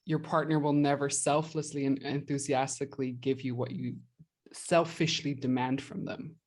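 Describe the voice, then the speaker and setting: A woman's voice: very deep voice, fairly even intonation, normal speed, darkish tone. A woman hosting a podcast, speaking conversationally in a candid, self-reflective manner. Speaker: podcast host.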